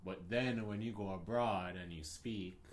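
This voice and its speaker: Deep-voiced Jamaican man, thoughtful. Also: unhurried, over a noisy crowd. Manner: thoughtful, hesitant, many "like" fillers. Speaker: video co-host. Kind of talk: casual chat.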